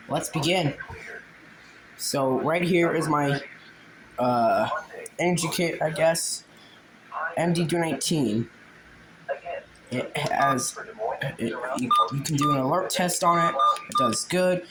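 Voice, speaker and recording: A man's voice: fairly high pitch, slow pace, pauses often, background noise. A man hosting an unscripted, casual show-and-tell, rambling along and often trailing off into vague asides. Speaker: video host showing a personal collection.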